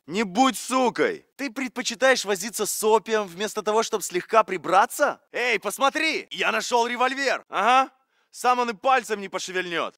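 A man's voice: high voice, sharp tone, dry recording, studio quality, full character voice. A man voicing a cocky, needling soldier in a video game.

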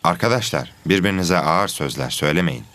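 Deep-voiced, patient cartoon teacher. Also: male voice, expressive, a bit bright, slight background noise. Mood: calm, instructive. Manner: measured, instructive. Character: patient, pious, authoritative male teacher. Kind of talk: children's educational cartoon acting.

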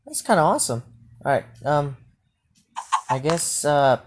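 A woman's voice: low voice, big pitch swings, a little slow, a little mellow. A woman improvising a game roleplay as she plays, often hesitating and repeating words. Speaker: gamer playing a Minecraft roleplay. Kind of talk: improvised roleplay gameplay commentary. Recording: noisy phone gameplay recording with clicks.

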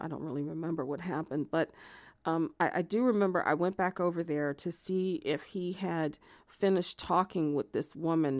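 A woman's voice: sits low, no echo, studio quality. A woman playing a jilted, obsessive lover in an audio theatre monologue, confessing in a hesitant, conversational ramble with self-justifying, indignant edges. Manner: hesitant, filler-strewn rambling that flares into swearing indignation.

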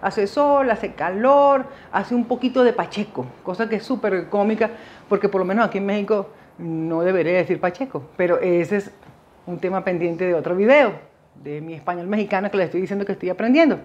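A middle-aged Venezuelan woman hosts a casual monologue in a mid-pitched voice. She addresses viewers directly and wanders into asides as she reflects. The close indoor recording sounds a little dull and muffled. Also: fairly quick, a little mellow. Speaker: video host sharing migration experience. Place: small indoor setup with dark backdrop.

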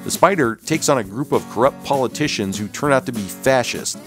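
A man's voice: medium pitch, expressive, a little fast, fairly bright tone, soft background music. A man hosting and narrating a scripted documentary-style video.

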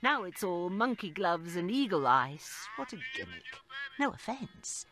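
A woman's voice: mid-range voice, sing-song, measured pace, a bit bright, soft background music. A woman playing a sarcastic shopkeeper who also narrates, in a video game. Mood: dry. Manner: sarcastic, dry teasing. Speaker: comic shop clerk and narrator.

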